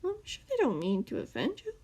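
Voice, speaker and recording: A woman voicing a calm, whimsical male hermit who talks in a light, teasing and mild way. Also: high voice, brisk, voice pushed up.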